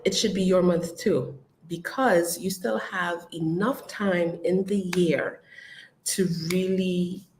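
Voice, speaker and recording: An adult host giving a casual live-stream update to followers, chatty and upbeat, with frequent ums and shout-outs. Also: medium pitch, low-quality recording. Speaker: business community host.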